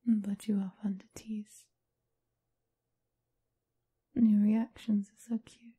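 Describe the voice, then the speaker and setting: A woman playing a teasing, doting, seductive vampire mistress in a whispered, breathy ASMR roleplay. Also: medium pitch, level tone, mellow. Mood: playful, seductive. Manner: slow, teasing, affectionate purr.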